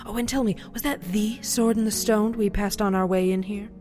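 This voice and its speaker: A woman playing a haughty, indignant noble lady in an audio drama, sharp and sarcastic. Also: mid-range voice, steady tone, normal speed, faint music behind, natural voice. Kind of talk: fan-made audio drama.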